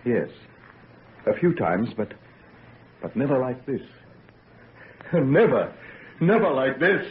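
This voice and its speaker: An actor in an old-time radio drama playing an obsessed young scientist, shaken yet proud, halting and repeating his phrases theatrically. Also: medium pitch, very animated. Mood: strained. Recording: tinny, noisy vintage radio recording.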